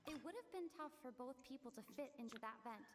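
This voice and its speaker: A young female game traveler with a high voice. She is thoughtful and earnest, laying out her reasoning deliberately. Prominent music plays under her. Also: lively intonation. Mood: pensive, earnest. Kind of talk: scripted video game dialogue.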